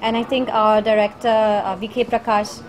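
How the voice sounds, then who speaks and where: A woman's voice: a little high, little pitch movement, moderate pace. A woman actress answering press questions about her film, chatty and searching for words with many fillers. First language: not Hindi. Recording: noisy crowd around the press interview.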